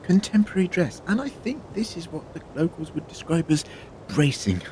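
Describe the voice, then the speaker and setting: A man's voice: a little high, big pitch swings, moderate pace. Male time-traveller lead, played by an actor: wry, eloquent, very varied intonation, audio drama. Noisy outdoor ambience. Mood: wry, teasing. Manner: wry, eloquent, drily humorous.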